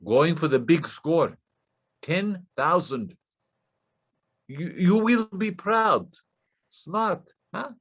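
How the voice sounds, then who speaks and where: An actor playing a desperate, nervous Russian scammer with a Russian accent, stammering deferentially in broken English in a play reading. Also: male voice, on the high side, animated, very slow, long pauses, a bit bright, breathy edge, lo-fi sound, full character voice. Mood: eager, boastful.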